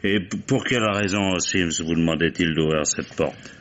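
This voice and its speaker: An actor playing a politely probing, dogged police commissioner in a vintage French radio mystery. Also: male voice, low-pitched, normal speed, fairly bright tone, highs cut off, slight background noise. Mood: curious, insistent. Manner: polite, hesitant, insinuating questioning.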